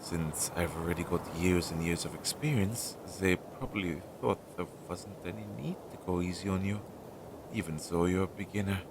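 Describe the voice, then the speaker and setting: A man acts out a witch's crow familiar for an audio roleplay, earnest, loyal and a little anxious. His voice sits fairly low and moves at a very slow, hesitant pace, as if thinking aloud to a close companion. The amateur recording carries clear background noise. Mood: guilty, worried. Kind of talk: roleplay audio drama, intimate one-sided dialogue.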